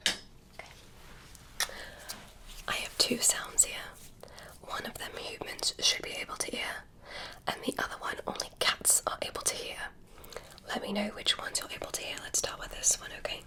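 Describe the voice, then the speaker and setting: A woman whispering as a curious alien doctor who examines a human, softly gushing with praise in a slow, soothing ASMR roleplay. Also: close to an everyday voice. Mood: gentle, curious. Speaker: ASMR creator.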